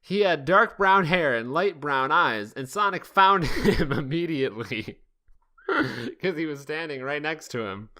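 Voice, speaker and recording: A man's voice: fairly high pitch, animated, a little slow, pushed and bright. A man hosting a podcast, reading fan fiction aloud in a casual comedic way and stumbling over the odd word. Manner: often breaks into laughter, stumbles over words. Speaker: podcast host.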